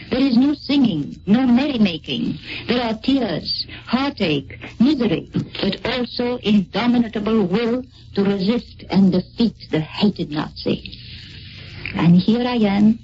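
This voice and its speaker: A woman's voice: fairly high pitch, a little mellow. A woman with a French accent giving a solemn, earnest scripted radio address in the 1940s. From France. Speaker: Free French army volunteer, guest speaker. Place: radio studio. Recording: muffled, noisy 1940s radio recording.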